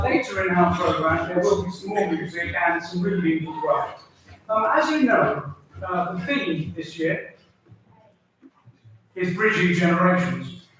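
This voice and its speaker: A man's voice: a little high, a bit bright, a little hiss or hum. A man hosting a memorial ceremony, introducing speakers formally with a few ums. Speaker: event host. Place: arts centre hall. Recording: echoey hall, distant mic.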